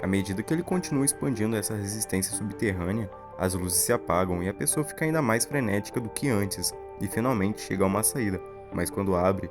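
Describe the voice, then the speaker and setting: A man's voice: fairly low pitch, animated, a little fast, hardly any pauses, music in the background. A man with a Brazilian accent, telling a horror story as scripted narration for an explainer video.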